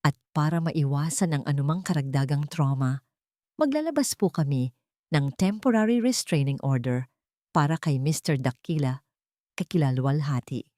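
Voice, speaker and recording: Narrator doing a formal lady social worker in a drama story, serious, sympathetic, low and dry. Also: normal speed, dark tone, no echo, close to an everyday voice. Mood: formal, solemn. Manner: formal, official.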